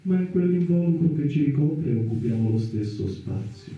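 A man's voice: on the high side, lively intonation, normal speed, lightly acted. An actor playing a man's provocative second self, insistent and teasing, in a radio theatre dialogue. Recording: echoey, distant, muffled radio drama recording.

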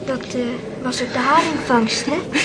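An actress playing a gentle, sympathetic young lady in a radio play. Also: high-pitched, unhurried. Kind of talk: Dutch radio play acting. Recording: very noisy old radio play recording.

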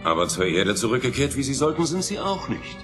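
A man's voice: mid-range voice, big pitch swings, a little fast, fairly bright tone. An actor playing a challenging spaceship crewman in an audio drama, pointed and confrontational in the way he talks. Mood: accusing. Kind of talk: dramatic audio play acting. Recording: music behind the voice.

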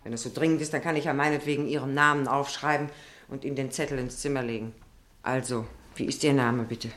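A woman's voice: rumbling low, steady tone, fast talker, a bit bright. An actress playing an anxious, easily unsettled landlady in a radio play, with a hesitant, fussy manner. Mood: impatient. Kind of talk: German radio play acting.

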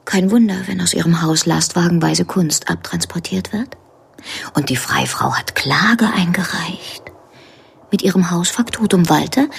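A resolute, sardonic woman talks plainly in a radio drama. Her voice is fairly low, very husky and creaky, with faint music behind. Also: heavily acted. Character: dry-witted, resolute female ally of the hero.

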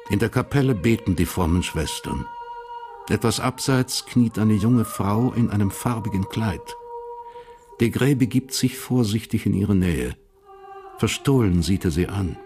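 A man narrating a German historical crime radio drama as a sober storyteller, measured and matter-of-fact. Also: on the low side.

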